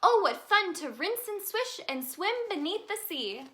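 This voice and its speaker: Bright, high-voiced woman reading children's stories. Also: measured pace. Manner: expressive, sing-song delivery for young children. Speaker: children's storybook reader, in a tooth fairy persona. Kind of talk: read-aloud children's story narration.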